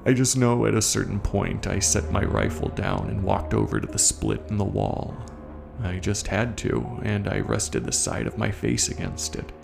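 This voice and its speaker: Haunted male veteran, played by a man, horror audio drama. Lowish, very creaky, coarse and guilt-ridden, clear music underneath. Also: animated. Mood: brooding, haunted. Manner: confessional, coarse, halting. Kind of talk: first-person horror audio story narration.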